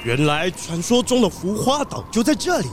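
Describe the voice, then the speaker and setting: A man playing a polite, fussy gentleman treasure collector in a children's audio drama, with a courteous, slightly hesitant manner. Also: high voice, sing-song, normal speed, music in the background. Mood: reassuring.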